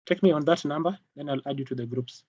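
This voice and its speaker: A man's voice: a little high, a little mellow, breathy edge, dry recording. Kenyan man, dull dry online training audio. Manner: halting, repeats phrases. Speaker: product trainer. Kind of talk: live online technical training.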